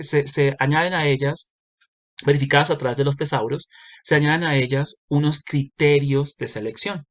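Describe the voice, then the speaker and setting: A man giving a recorded lecture, explaining step by step with frequent pauses and self-corrections. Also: mid-range voice. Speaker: university lecturer.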